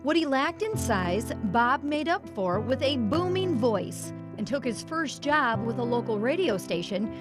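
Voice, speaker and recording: A woman's voice: fairly high pitch, big pitch swings, measured pace, hardly any pauses. An American woman reporting on television, reading scripted narration for a sports news feature. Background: music bed.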